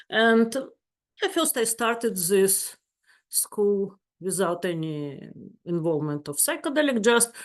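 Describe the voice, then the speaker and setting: Woman, Russian accent, second-language English, slow, earnest, webinar speaker. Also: lowish voice. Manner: slow, searching for words, warm and earnest. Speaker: therapist. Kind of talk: webinar presentation.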